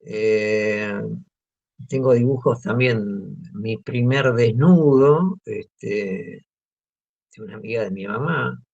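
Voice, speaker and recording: Gravelly-voiced Argentine man, hesitant. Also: fairly low pitch, slow pace, darkish tone. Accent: Argentine Spanish. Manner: hesitant, many fillers and restarts. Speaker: guest artist. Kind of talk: relaxed interview talk. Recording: muffled lo-fi audio.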